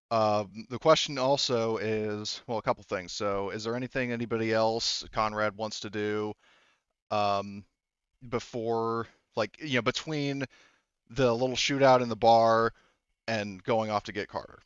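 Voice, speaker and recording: A man's voice: on the low side, fairly even intonation, unhurried, smooth, no echo, lo-fi sound. A man talking casually as a game master, rambling and hedging as he thinks aloud. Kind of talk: casual tabletop game talk.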